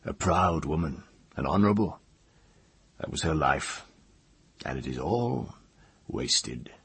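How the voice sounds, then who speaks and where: A wise, humble male mage as a man narrates him, very low and markedly husky. He is stern but tender, speaking softly, solemnly and with measured care, like a figure from a fantasy storybook, recorded dry. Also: dry recording, heavily acted. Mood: strained, sorrowful.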